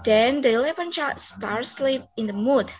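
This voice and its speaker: A young woman narrating a scripted story as a student, reading carefully and haltingly on a video call. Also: on the high side, lively intonation, faint music behind. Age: young adult. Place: indoor room, video call. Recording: muffled video call audio.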